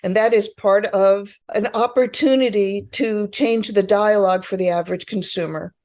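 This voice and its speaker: A woman explaining things as an interview guest, excitable and emphatic, often acting out what people say. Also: mid-range voice, normal speed. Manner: excitable, emphatic, acts out quotes. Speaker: interview guest, food rescue organizer. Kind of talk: animated conversational explanation.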